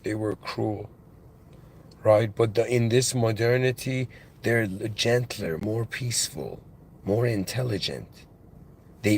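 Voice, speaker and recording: A man with an accent in English, giving a reflective spiritual monologue as a channel host, halting and musing, with restarts and devout phrases. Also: fairly low pitch, darkish tone, slight background noise. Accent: non-native English.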